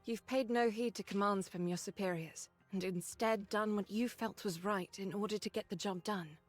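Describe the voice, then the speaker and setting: A woman's voice: medium pitch, fairly even intonation, normal speed, soft background music, natural voice. Woman acting a resolute, frank female fighter. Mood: supportive. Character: resolute, loyal woman squad fighter, wryly candid. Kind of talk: scripted game voice acting.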